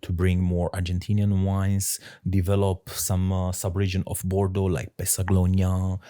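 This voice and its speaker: A man from Romania, a sommelier guest answering interview questions in halting English with many fillers and laughs. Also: sits low, monotone, mellow. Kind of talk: casual interview talk.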